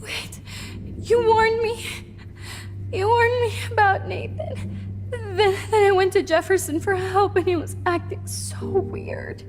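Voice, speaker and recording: An actress playing a frightened, remorseful eighteen-year-old captive student in a video game, pleading and faltering. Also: female voice, very high voice, steady tone, slow, in a large hall, a little hiss or hum. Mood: frightened, tearful. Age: young adult. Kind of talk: video game cutscene acting.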